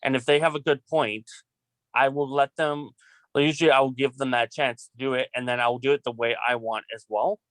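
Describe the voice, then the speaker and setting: A man's voice: medium pitch, steady tone, brisk, a bit bright, dry recording, poor audio. A man speaking as a student filmmaker in a casual group discussion. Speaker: student filmmaker in an online class meeting.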